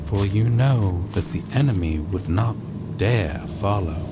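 A man narrating a scripted second-person story in an ASMR roleplay, deliberately, with dramatic pauses. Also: lowish voice. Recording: loud steady background noise.

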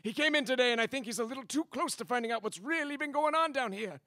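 An actor playing a scheming, haughty crime boss who gives commands, in a comic radio play. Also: male voice, very high voice, quick pace, pushed and bright, no echo, clean studio sound. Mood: commanding. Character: scheming, bossy crime boss with squeamish taste.

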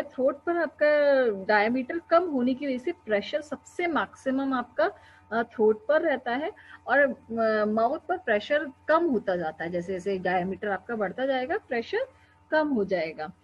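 Young female lecturer, Indian accent, fairly high voice, explanatory classroom delivery, slightly noisy recording. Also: animated, a little slow. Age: young adult. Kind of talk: explanatory classroom lecture mixing Hindi and English. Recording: dull lo-fi webcam audio with faint hum.

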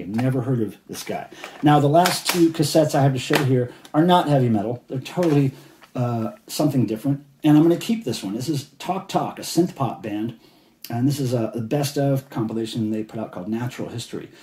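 A man's voice: medium pitch, brisk, background noise. Chatty man in an echoey room. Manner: enthusiastic, chatty, rambling. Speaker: collector showing finds. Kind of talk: casual show-and-tell vlog. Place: indoors at a table of cassettes.

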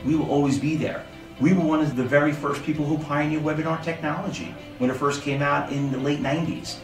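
A man's voice: on the high side, fairly even intonation, quick pace, music in the background. A man speaking earnestly and persuasively about his trading school, filmed in a hotel conference room. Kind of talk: promotional testimonial talk. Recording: roomy sound from a distance.

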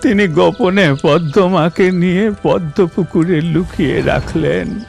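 Cartoon storyteller, high gravelly voice, slow dramatic telling, faint music. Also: male voice, a little mellow. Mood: solemn. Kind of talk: dramatic animated fairy-tale storytelling.

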